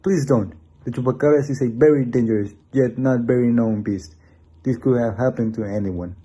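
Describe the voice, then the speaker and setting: Guy acting a remorseful hunter in a radio play, real dark raspy voice, earnest. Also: medium pitch, moderate pace, muffled recording, natural voice. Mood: reassuring. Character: shaken, remorseful male monster hunter. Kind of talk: amateur radio drama acting.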